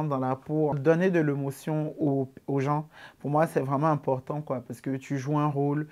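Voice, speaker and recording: Young Ivorian man, easygoing interview talk. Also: medium pitch. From Ivory Coast. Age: 20s. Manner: relaxed, many fillers like euh and en fait. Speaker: comedian interviewee. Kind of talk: casual interview answers.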